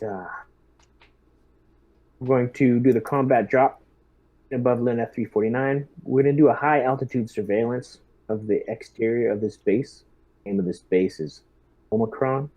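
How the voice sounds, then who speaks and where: A man playing a squad lieutenant in a tabletop role-playing session, speaking in a deliberate, halting, businesslike way. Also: medium pitch, highs cut off. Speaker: player acting as squad lieutenant.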